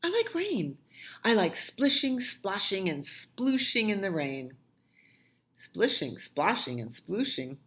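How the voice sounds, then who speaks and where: A woman's voice: mid-range voice, big pitch swings, slow, a bit bright. A woman voicing an eager, playful young child in a picture book, emphatic and contrary, drawing out favourite words. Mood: delighted. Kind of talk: picture-book dialogue read aloud.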